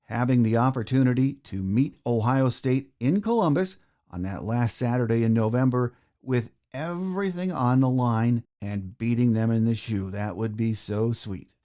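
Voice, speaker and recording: A man's voice: medium pitch, lively intonation, moderate pace, mellow. An American man hosting a sports podcast, delivering scripted introductions and interview questions.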